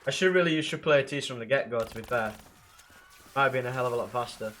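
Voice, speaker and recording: A young man with a blocked nose and rough throat, chatting casually and swearing with viewers while playing a game. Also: medium pitch, fast, fairly bright tone, slight background noise. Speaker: livestreamer playing a zombie game.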